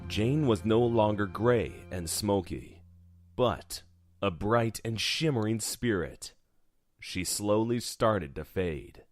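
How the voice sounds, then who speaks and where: A man's voice: fairly low pitch, expressive, slow pace, dry recording. A man narrating a scripted horror story in an audio drama. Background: music.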